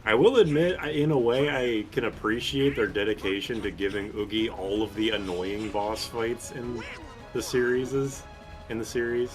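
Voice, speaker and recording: A low-voiced man chats through a gaming session in a loose, joking way. His casual commentary sits over clearly audible game music. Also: fairly even intonation, normal speed. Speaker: co-commentator on a gameplay video. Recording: video game music behind.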